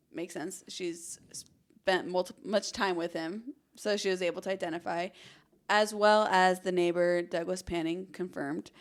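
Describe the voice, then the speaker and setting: A woman co-hosting a true-crime podcast, telling the case in a casual, conversational way peppered with "like". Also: on the low side. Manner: casual, frequent "like" as hedging.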